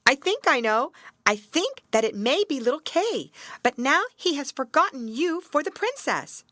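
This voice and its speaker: A woman's voice: high voice, sing-song, normal speed, fairly bright tone, no room sound, studio quality, pitched above the performer's own voice. A woman voicing a grave, well-meaning talking raven in a storybook, earnestly explaining things. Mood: grave, cautious. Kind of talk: storybook character voice.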